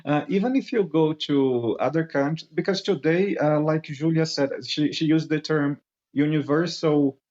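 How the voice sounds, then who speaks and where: A man's voice: a little high, normal speed, darkish tone, highs cut off. A man in his late thirties from Brazil, an English teacher hosting a podcast chat in English with a Brazilian accent. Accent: Brazilian Portuguese. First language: Portuguese. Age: 30s.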